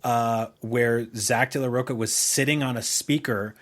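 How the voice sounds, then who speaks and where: A man's voice: medium pitch, steady tone, a little slow. A man co-hosting a podcast, chatting casually in a rambling, enthusiastic way with plenty of swearing. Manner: rambling, enthusiastic, profane storytelling. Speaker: podcast co-host. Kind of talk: casual chat.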